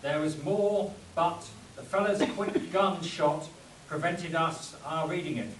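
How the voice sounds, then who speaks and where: A man's voice: on the high side, unhurried, lightly acted. A man playing a commanding adventurer hero in a spoof radio play performed live. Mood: explaining, assured. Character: commanding adventurer hero Doc, leading his men. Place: live stage performance at a convention. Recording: echoey convention room, distant mic, audience noise.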